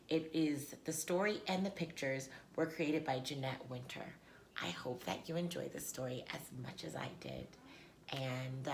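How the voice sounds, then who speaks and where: A woman's voice: low voice, a little hiss or hum. A woman hosting a read-aloud lesson for children as a teacher, explaining things simply.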